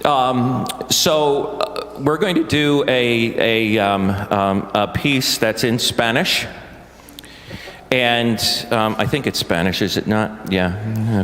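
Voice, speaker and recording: A man talks slowly and haltingly to a live audience, joking at his own expense between songs. His voice carries through a large church with long, ringing reverb, and a faint hum of the room sits behind him. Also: lowish voice, fairly bright tone. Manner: joking, self-deprecating, halting with many fillers. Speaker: choir director leading a singalong. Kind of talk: live concert banter to an audience.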